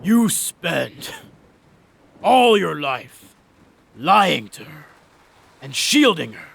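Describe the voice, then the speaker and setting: A man voicing a dying, menacing Cyclops giant in an audio story, gasping and rasping as he slowly taunts. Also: on the high side, big pitch swings, fairly bright tone, full character voice. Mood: menacing, spiteful. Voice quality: raspy, gasping, wet gurgle. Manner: slow, drawn-out taunting.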